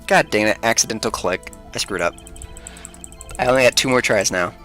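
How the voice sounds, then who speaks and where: A man's voice: on the low side, normal speed, sharp tone, soft background music. A man giving casual gameplay commentary, muttering and whispering frustrated asides to himself. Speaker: let's-play host.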